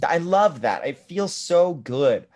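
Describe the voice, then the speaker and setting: Hesitant man with a deep voice. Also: big pitch swings, slow, darkish tone, highs cut off. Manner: hesitant, full of ums and likes. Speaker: podcast co-host. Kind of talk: casual chat.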